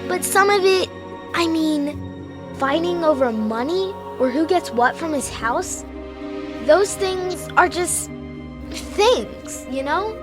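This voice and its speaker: A woman's voice: extremely high pitch, animated, takes it slowly, exaggerated voice. A woman playing an earnest, curious, worried young schoolgirl in an audio drama, with music behind her. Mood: troubled, earnest.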